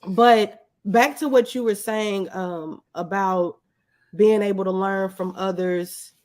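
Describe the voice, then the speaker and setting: A woman's voice: mid-range voice, steady tone, slow pace, darkish tone. A woman speaking as an interview guest, a small business owner talking casually and candidly through personal anecdotes.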